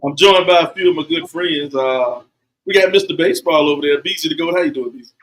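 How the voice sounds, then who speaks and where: A man hosting a casual podcast, teasing his friends and spinning drawn-out jokes. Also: on the high side, a bit bright, slight background noise, poor audio. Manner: playful, teasing, drawn-out storytelling. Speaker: podcast host. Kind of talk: casual joking banter.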